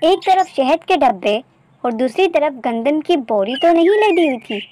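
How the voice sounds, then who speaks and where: Slow, wise wandering beggar voiced by a woman. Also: sits high, expressive, mellow, a little hiss or hum. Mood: calm questioning. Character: wise, observant male wandering beggar, calm and polite.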